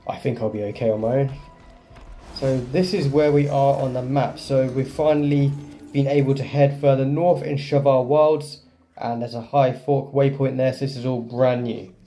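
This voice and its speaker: A man's voice: mid-range voice, fairly even intonation, moderate pace, mellow. A man giving casual gameplay commentary as host of a gaming video. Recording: faint game music behind.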